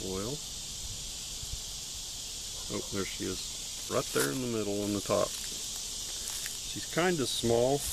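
A man casually narrating a hive inspection outdoors, with an unhurried, plain-spoken, matter-of-fact way of talking. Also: lowish voice, creaky, heavy background noise. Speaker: beekeeper and video host. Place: outdoors among trees beside beehives.

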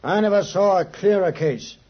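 An actor playing a smug, dogged English police inspector in a 1950s radio drama, cocksure and blunt. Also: male voice, high voice, normal speed, dull audio.

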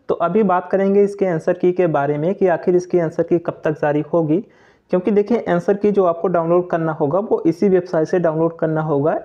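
A young man giving an informal explainer voice-over, going over points repeatedly. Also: fairly high pitch. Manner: repetitive, explanatory. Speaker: exam-update channel presenter. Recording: dull, lo-fi voice recording.

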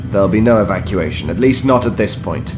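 Stern bossy mayor in a radio drama, formal, music behind him. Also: male voice, low voice, animated, brisk. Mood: stern, insistent. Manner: commanding, formal. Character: stern authoritative male mayor, stubborn politician. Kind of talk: dramatic audio drama acting.

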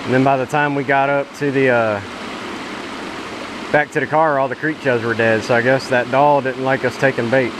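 A man's voice: mid-range voice, fairly even intonation. A man in his thirties casually telling a story while fishing at a creek, recorded on an action camera. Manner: easygoing storyteller, chuckling. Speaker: fishing vlogger. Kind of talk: casual outdoor fishing chat. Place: wooded creek outdoors. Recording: outdoor camera audio with stream noise. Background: rushing stream water.

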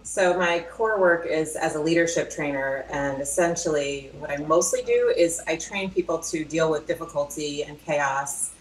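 Female, 30s. Low voice, explanatory guest delivery from a roomy, echoing space. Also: normal speed, few pauses. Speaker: guest, leadership trainer. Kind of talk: explanatory interview talk. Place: small indoor room, video call. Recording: roomy echo, faint noise.

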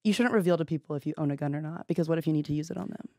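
A woman's voice: deep voice, rapid-fire, a little mellow, no room sound. A young woman from Texas bantering casually and comedically as a podcast guest, with a sassy, teasing manner, close on the mic. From Texas, United States. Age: young adult. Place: indoor podcast studio. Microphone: close podcast microphone.

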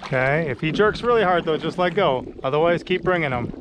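A middle-aged man filming outdoors on a lake with an action camera, excitedly coaching his young son through landing a fish. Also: on the high side, a little fast, background noise. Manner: encouraging, excited, coaching a child. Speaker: vlogger. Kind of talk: casual coaching commentary.

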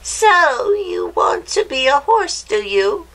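A woman voicing a gleeful little old witch with a high, screechy voice, chanting spells theatrically in a children's storybook. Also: very animated, slow, fairly bright tone, exaggerated voice, rough recording. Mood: sly, coaxing. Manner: theatrical, exclamatory, chanting nonsense rhymes.

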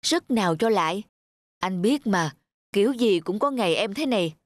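A man voicing a devoted, gentle young husband and new father in a cartoon, with a tender, solicitous manner. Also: high voice, expressive, normal speed, dry recording. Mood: concerned. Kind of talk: cartoon voice acting.

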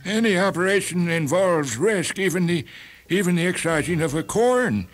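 Uneasy doctor, fairly high pitch, hesitant, apologetic, radio drama. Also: male voice, animated. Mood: uneasy, explaining. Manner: hesitant, halting.

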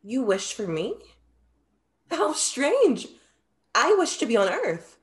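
Young woman playing ancient Greek muse, proud, playful, theatrical, formal phrasing, very animated pitch, staged reading. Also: slow, full character voice. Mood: curious. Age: young adult. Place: indoor room, video call.

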